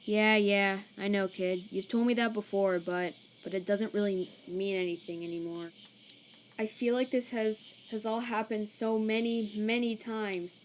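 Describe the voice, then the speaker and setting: A woman's voice: medium pitch, fairly even intonation, a little hiss or hum, natural voice. A laid-back male cartoon skeleton acted out by a woman talks in a middling register. He is slangy and protective, with a weariness that makes him halt over his words. Night insects chirp faintly behind him. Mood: anguished. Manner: casual, slangy, halting when troubled. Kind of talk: comic dub acting. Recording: cricket night ambience behind.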